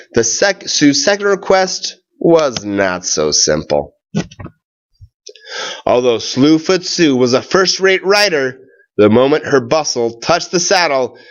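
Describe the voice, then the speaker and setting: A man reads a children's story aloud at a slow, deliberate pace. His pitch moves up and down in a lively way as he stretches words and pauses between phrases. The recording sounds a little dull and lo-fi. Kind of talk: read-aloud of a children's tall tale.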